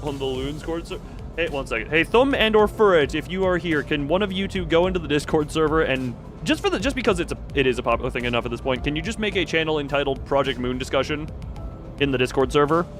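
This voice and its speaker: A man's voice: on the high side, expressive, quick pace, a bit bright. Rambling man livestreaming, faint music. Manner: rambling, playful asides. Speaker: livestreamer playing and voicing a game. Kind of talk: casual live stream chat. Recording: faint game music behind.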